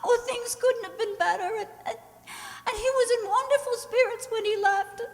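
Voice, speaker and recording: Woman acting a very high-voiced, fragile young lady, echoey. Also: normal speed. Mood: upset, hesitant. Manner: faltering, stammering, tearful. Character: distraught, polite grown daughter, fragile and hesitant. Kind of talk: old-time radio drama acting. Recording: echoey hall, long reverb.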